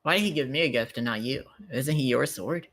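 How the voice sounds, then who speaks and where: A woman's voice: extremely low pitch, a little fast, a bit bright. A woman playing a tabletop roleplay, chatting casually in character in a blunt, sardonic, sweary way. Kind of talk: casual, improvised in-character chat.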